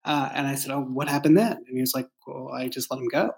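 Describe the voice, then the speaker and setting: A man speaking casually in an interview as a writer, thoughtful and halting, often repeating words as he goes. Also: medium pitch, steady tone, vocal fry. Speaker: writer and creator of an audio drama. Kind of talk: casual behind-the-scenes interview.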